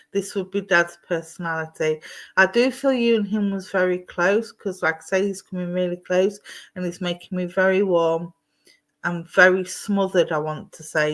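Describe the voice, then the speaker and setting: A woman giving a live-streamed psychic reading. She is gentle and reassuring, calls people darling and sweetheart, and rambles with ums and restarts. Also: fairly low pitch. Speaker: psychic medium. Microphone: streaming setup at home.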